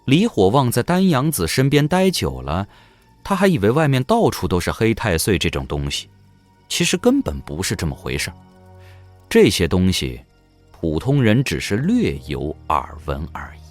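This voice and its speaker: Deep-voiced, very animated male storyteller. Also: normal speed, faint music behind. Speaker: narrator. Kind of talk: dramatic scripted narration.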